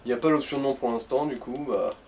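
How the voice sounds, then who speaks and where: A man's voice: medium pitch, fast, a little mellow, distant mic. A man chatting casually and explaining a video game to the person playing it. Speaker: co-player advising the host. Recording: voice off from the mic.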